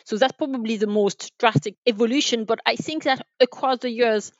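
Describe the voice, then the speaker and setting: A woman's voice: fairly high pitch, brisk, no room sound, muffled recording. A woman from France with a French accent, giving conversational answers as a podcast interview guest. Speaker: podcast guest, telecom sales manager.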